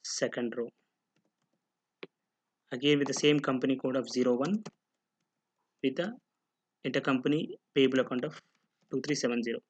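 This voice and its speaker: Guy doing a software tutorial, step by step, asks then answers himself, bit of noise and clicks behind. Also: medium pitch, normal speed, pauses often, highs cut off. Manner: step-by-step explaining, often asking and answering own questions. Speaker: software instructor. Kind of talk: screen-recorded tutorial narration. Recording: noticeable background noise with clicking.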